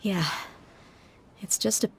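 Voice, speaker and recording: An actress playing a tough, sincere young adventurer in a video game, speaking in a measured, earnest way. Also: female voice, close to an everyday voice. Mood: resolved, tender. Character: tough, sincere young adventurer woman, grieving adopted daughter. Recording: music behind the voice. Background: music.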